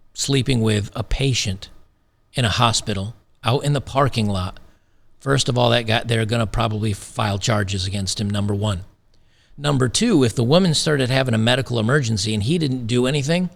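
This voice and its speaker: Gravelly-voiced man ranting on commentary. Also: mid-range voice. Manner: emphatic, repeats phrases, sarcastic. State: slightly losing his voice. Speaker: commentary channel host. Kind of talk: opinionated commentary.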